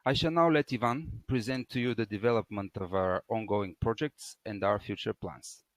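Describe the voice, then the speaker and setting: A man's voice: lowish voice, moderate pace, dry recording. A man delivering a prepared business presentation of company figures. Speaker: company presenter handing over to colleague.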